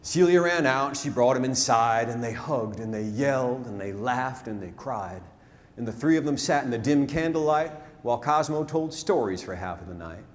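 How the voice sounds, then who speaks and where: A man recites rhyming narrative verse on stage as a storyteller, dramatic and deliberate, swelling and then hushing his phrases. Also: medium pitch, lively intonation. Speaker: storyteller performing a narrative poem. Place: large theatre, live stage performance. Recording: live theatre stage, long reverb, noisy.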